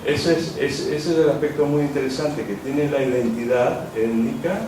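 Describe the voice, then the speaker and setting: A man's voice: medium pitch, steady tone, normal speed. Man lecturing in an echoey hall. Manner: discursive, rephrases often, many "eh" fillers. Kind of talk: academic lecture. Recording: echoey hall, distant mic, background noise.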